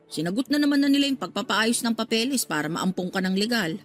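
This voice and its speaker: Actress playing a chatty, expressive middle-aged mother. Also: fairly high pitch, quick pace, natural voice. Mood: regretful, explaining. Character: talkative middle-aged working-class mother reunited with given-up daughter. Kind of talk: radio drama acting. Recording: music under the voice.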